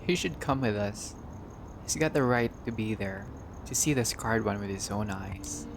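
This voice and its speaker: A man's voice: lowish voice, moderate pace, background noise, close to an everyday voice. A man voicing a blunt, determined, vengeful vampire woman in a visual novel game. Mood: firm, urging.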